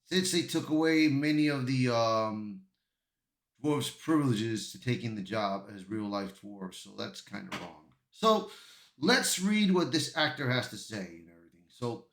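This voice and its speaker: An echoey room surrounds this man's voice, which sits at an ordinary middle pitch. He works through amateur news commentary as a host, reading aloud and reacting, often tripping over words and starting them again. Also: fairly bright tone. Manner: halting, stumbling delivery with repeated words. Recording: echoey, roomy sound.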